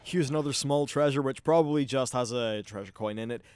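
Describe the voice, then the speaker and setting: A man's voice: mid-range voice, fairly quick, a little mellow, smooth. A man hosting a gaming video, giving casual, informal gameplay commentary with some swearing.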